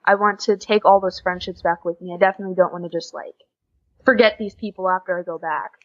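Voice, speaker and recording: A young American woman chatting casually as a podcast guest, telling stories in a lively, conversational way. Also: fairly low pitch, quick pace. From USA. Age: young adult. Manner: chatty, animated storytelling with quoted dialogue and frequent giggles. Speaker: podcast guest, exchange student. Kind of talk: casual interview chat. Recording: slightly dull, lo-fi audio.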